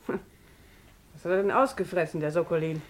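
A woman's voice: fairly low pitch, unhurried. An actress playing a suspicious, unhelpful landlady in a crime radio play, offhand and grudging. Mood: suspicious, nosy. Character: gruff, suspicious, unhelpful landlord of a rooming house.

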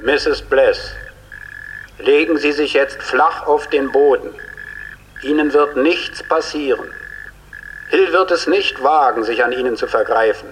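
Actor as a stern, echoing police officer. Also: male voice, medium pitch, measured pace, pauses often, natural voice. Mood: firm, urgent. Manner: commanding, projected, formal. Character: stern police officer calling out surrender orders to gunman. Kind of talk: German radio crime drama acting. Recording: long echo, outdoor hail, hissy.

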